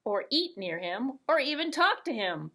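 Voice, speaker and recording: A woman narrating a children's storybook puppet show in an expressive, deliberate way with playful sound words. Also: high-pitched.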